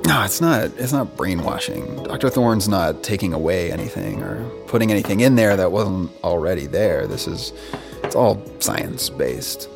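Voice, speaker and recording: Young boyfriend, audio drama: casual, hesitant, good-natured, lots of fry, over background music. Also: male voice, low-pitched, lively intonation, moderate pace. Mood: preoccupied, defensive. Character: easygoing young boyfriend, distracted and mildly teasing.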